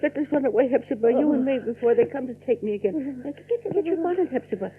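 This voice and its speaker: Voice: high voice, fast talker, narrow, tinny audio, close to an everyday voice. A performer playing a broken, fearful aging man in an audio drama, with a quavering, wistful, childlike delivery. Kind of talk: theatrical audio drama acting. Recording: dry, thin old radio-drama recording.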